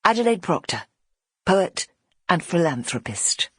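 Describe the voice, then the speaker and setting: A woman reading an audiobook as narrator, with measured, expressive storytelling. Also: lowish voice, breathy edge, no echo, clean studio sound. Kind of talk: scripted dramatic narration.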